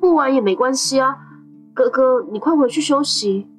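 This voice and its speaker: A woman playing a gentle, anxious fourteen-year-old boy in an audio drama, hesitant, earnest and polite. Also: high-pitched, normal speed, natural voice. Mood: concerned. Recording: background music under the voice.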